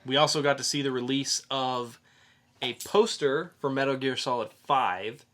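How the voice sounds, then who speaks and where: Male podcast co-host, mid-pitched and bright, casual geek news chat with a halting, conversational flow.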